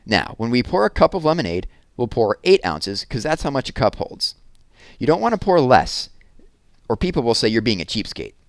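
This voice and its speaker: A man's voice: mid-range voice, sing-song, moderate pace, no room sound, clean studio sound, pitched above the performer's own voice. A man voicing an eager, anxious eight-year-old girl in a children's storybook, with an earnest, chatty manner. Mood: confident, explaining. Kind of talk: children's storybook read-aloud.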